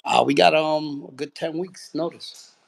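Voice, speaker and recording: A man from Puerto Rico, a boxing trainer, telling his story in a relaxed, rambling way with lots of fillers. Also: on the high side, measured pace, gravelly. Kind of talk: casual interview.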